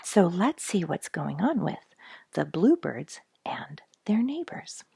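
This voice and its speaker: Animated low-voiced woman reading to children. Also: slow pace, creaky, breathy edge, no echo, clean studio sound. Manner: friendly address to young listeners. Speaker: storytelling host reading a children's book. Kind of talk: read-aloud children's narration with chatty asides.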